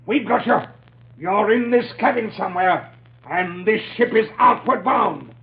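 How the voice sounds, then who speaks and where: An actor playing a tough, ruthless criminal ship captain with slangy bluster, in 1940s radio style. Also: male voice, high-pitched, a little slow. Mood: defiant, blustering. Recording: tinny, noisy old radio recording.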